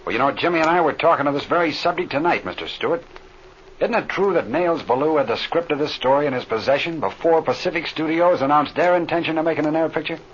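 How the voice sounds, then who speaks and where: An American actor playing an easygoing, seasoned chief pilot in an old radio serial, folksy and wry. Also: male voice, fairly high pitch, expressive, quick pace, natural voice. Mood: puzzled. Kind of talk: 1930s radio serial acting. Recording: muffled, noisy old radio recording.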